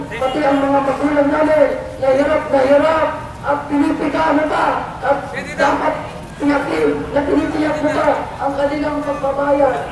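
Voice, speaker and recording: A young woman with a Filipino accent giving an emphatic, impassioned protest speech as a student speaker, appealing directly to her listeners. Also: high-pitched. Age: young adult. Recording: echoey outdoor rally, distant, crowd noise, music.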